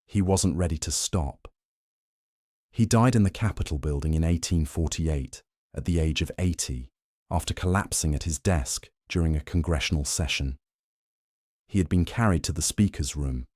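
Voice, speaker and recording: Male bedtime narrator: deep, warm-toned, unhurried and even, dry booth sound. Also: dry recording. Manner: slow, measured and soothing. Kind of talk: calm scripted bedtime narration.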